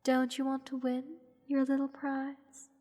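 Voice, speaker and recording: A woman playing a haughty, playful goddess who teases a captive mortal in an unhurried, condescending manner, in a roleplay audio drama. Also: high voice, monotone, dark tone. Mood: coaxing, condescending. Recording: long hall reverb. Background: music.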